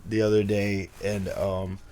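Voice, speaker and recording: A man with a very low, hoarse voice talks straight to camera in a loose vlog. He rambles, restarts his sentences and keeps a wry, conversational tone. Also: flat delivery, a little slow, mellow. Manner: rambling, frequent restarts and fillers. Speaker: vlogger. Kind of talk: casual talking-head vlog.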